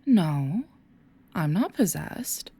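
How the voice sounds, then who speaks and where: Husky, low, possessed woman played by an actress. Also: big pitch swings, extremely slow, warm tone, exaggerated voice. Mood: denying. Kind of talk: audio roleplay drama.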